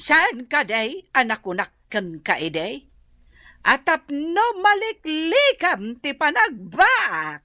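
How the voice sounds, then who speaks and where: Radio drama role: exasperated nagging mom, Ilocano accent, unhurried, wildly varied high pitch. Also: female voice, very animated, pushed and bright, dry recording, studio quality, exaggerated voice. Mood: scolding. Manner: nagging, exclaiming. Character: scolding, exasperated mother of a grown debtor.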